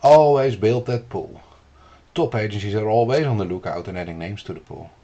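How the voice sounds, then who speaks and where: Dutch-accented man, low-pitched business coach. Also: mellow, highs cut off. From Netherlands. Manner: earnest, measured, lecturing to the viewer. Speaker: business consultant. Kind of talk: instructional video explainer.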